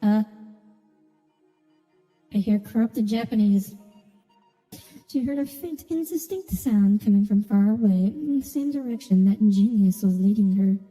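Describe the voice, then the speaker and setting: A woman's voice: medium pitch, a little slow, pauses often, mellow. A woman streaming a video game, mixing casual commentary with reading the story text aloud. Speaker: gaming streamer playing through a story quest. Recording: reverberant sound with music behind. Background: game music.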